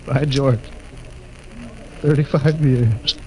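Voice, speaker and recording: A man's voice: mid-range voice, drawn-out words, dark tone, soft background music, poor audio. An actor playing a hearty, easygoing spaceship captain back home with his parents in a 1950s radio drama. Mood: nostalgic. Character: friendly spaceship captain, grown son, homesick. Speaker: ship's captain.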